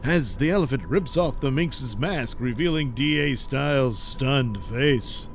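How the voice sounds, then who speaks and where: A man's voice: mid-range voice, lively intonation, unhurried, vocal fry, a little hiss or hum. A man giving theatrical scripted narration for a comedy serial.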